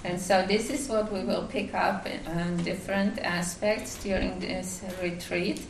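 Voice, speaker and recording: A woman from Finland with a Finnish accent, giving a devotional talk at a retreat, halting with many fillers and restarts. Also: on the low side, fairly even intonation, reverberant, recorded from a distance, a little hiss or hum. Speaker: retreat speaker.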